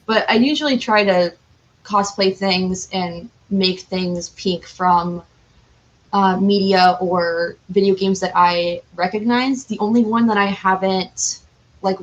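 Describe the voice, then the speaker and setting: A woman's voice: lowish voice, fairly even intonation, takes it slowly, highs cut off. A woman chatting casually as an interview guest, with a candid, self-deprecating and talkative manner. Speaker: podcast guest, cosplayer.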